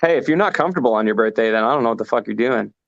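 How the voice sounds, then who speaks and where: A man's voice: medium pitch, lively intonation, racing, no echo. A man chatting casually as a band vocalist being interviewed online, joking and swearing freely. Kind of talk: casual banter on an online music show. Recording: dull lo-fi call audio.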